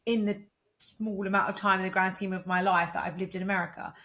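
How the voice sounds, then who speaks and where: A young woman from England co-hosting a podcast, talking in a relaxed, reflective way with many fillers. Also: mid-range voice, quick pace. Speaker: podcast co-host. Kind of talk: casual chat.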